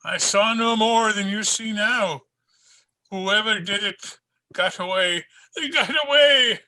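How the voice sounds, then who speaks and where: An actor playing a distraught older police sergeant in an old-time radio drama, halting and repeating himself in grief. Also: male voice, high voice, bright, forceful tone, full character voice, low-quality recording. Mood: shaken. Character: anguished, shaken older Irish police sergeant, grieving uncle.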